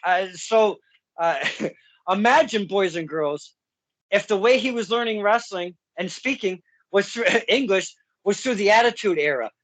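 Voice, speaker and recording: A man's voice: high voice, expressive, measured pace, fairly bright tone, dry recording. A man hosting a podcast, asking questions in a casual, chatty way with frequent laughs and asides to listeners. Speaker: podcast host. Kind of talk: casual interview.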